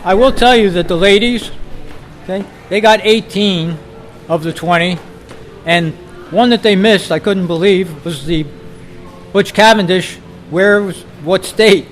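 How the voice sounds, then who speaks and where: A man's voice: high-pitched, unhurried, faint music behind, low-quality recording. A man hosting a quiz live at a club meeting, calling out to the members in an amateur recording.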